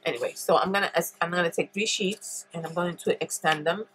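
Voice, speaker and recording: Low-voiced congested woman demonstrating crafts. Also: brisk, a bit bright, a little hiss or hum, low-quality recording. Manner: chatty, thinks aloud with many ums. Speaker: paper craft tutorial host. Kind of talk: relaxed step-by-step craft demonstration. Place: indoors at a craft table.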